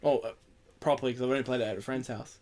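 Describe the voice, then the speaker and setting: A young man hosts a casual gaming podcast, sounding tired. He rambles and jokes, swears freely, and speaks in a mid-pitched voice. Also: steady tone, very fast, a little mellow. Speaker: podcast host. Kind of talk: casual chat about video games.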